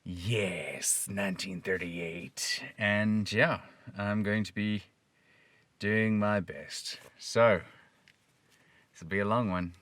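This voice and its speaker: A man hosting his own channel, chatting casually and softly to viewers in a rambling way. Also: fairly low pitch, fairly even intonation, slow, no echo. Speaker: host of a voice channel. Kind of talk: casual chat to camera.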